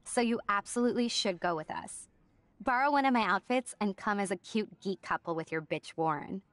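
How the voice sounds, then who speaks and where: An actress playing a bubbly, upbeat teenage cheerleader in a video game, talking in a peppy, encouraging way. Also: female voice, mid-range voice, a little fast, a bit bright, no echo, close to an everyday voice.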